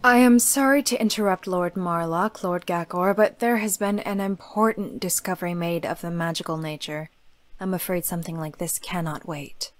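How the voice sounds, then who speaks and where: A woman's voice: lowish voice, normal speed, soft background music, pitched below the performer's own voice, natural voice. A woman voicing a young female necromancer from a visual novel, composed, formal and reassuring. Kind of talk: acted visual novel dialogue.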